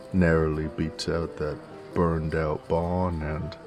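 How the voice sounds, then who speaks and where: Male actor as male werewolf bounty hunter, low gravelly voice, unhurried American cowboy drawl, folksy, coarse, sardonic, Western audio drama. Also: level tone, music in the background, full character voice. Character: gruff, teasing male werewolf bounty hunter of the Old West. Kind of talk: Western audio roleplay addressed to the listener.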